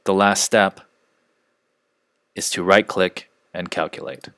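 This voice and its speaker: Male software trainer, low register, measured instructional screencast delivery, dry studio-style sound. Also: fairly even intonation, dry recording. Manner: measured, step-by-step explaining.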